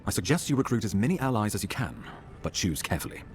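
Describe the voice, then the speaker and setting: An actor playing a grave, dutiful ambassador of a fantasy empire in a video game, speaking formally. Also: male voice, lowish voice, big pitch swings, quick pace, slight background noise. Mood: advising. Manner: formal, stately wording. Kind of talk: dramatic video game dialogue.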